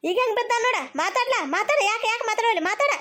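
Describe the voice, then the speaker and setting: A woman's voice: very high-pitched, animated, rapid-fire, pushed and bright, exaggerated voice. A woman with a North Karnataka accent voicing a cantankerous, aggrieved older mother-in-law in a cartoon comedy, scolding and repeating herself insistently. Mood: furious scolding.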